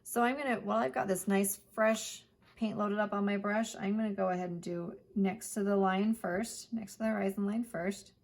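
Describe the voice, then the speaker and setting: A woman art teacher giving a chatty step-by-step painting lesson, her voice hoarse. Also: medium pitch, steady tone, normal speed. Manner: chatty, plain instructional talk.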